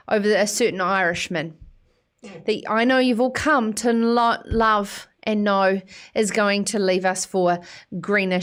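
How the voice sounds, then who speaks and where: A woman hosting a live farming show, chatty and teasing, often breaking into laughter. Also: medium pitch. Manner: bubbly, teasing, frequent laughter. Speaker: host.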